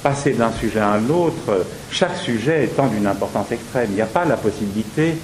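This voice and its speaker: Low-voiced hesitant man, hissy recording. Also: expressive, a little fast, poor audio. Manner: hesitant, many restarts and fillers. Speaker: actor. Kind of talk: discussion of theatre work.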